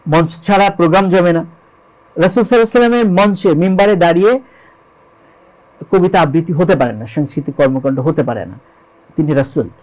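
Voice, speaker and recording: A man's voice: a little high, fast talker, long pauses, a little mellow, rough recording. A Bangladeshi man speaking as an interview guest, telling religious history in an explanatory storytelling way, emphatically repeating key phrases and posing rhetorical questions. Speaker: interview guest, lyricist and composer.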